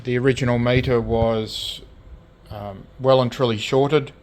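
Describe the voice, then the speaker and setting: A man with an Australian accent talks through a piece of restoration work in a casual show-and-tell way. His phrasing is hesitant and comes in short pieces, at an ordinary middle pitch. There is noticeable background noise on the recording. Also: fairly even intonation, unhurried, darkish tone. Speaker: radio restorer presenting own collection. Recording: clear background noise, hobby video.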